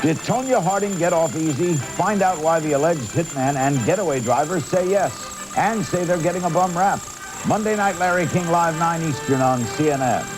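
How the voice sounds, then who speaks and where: A man's voice: a little high, moderate pace, poor audio. A man reading a scripted television promo as an announcer. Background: music.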